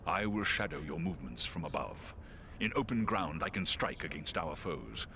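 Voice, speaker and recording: An actor playing a noble giant eagle in a fantasy video game, grave and loyal, with formal, measured diction. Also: male voice, deep voice, lively intonation, gravelly, background noise. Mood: resolute.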